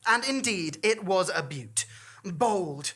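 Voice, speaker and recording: A woman's voice: medium pitch, animated, slow pace, a bit bright, breathy edge. A woman performing a comic storytelling monologue in a wry, campy, theatrical manner.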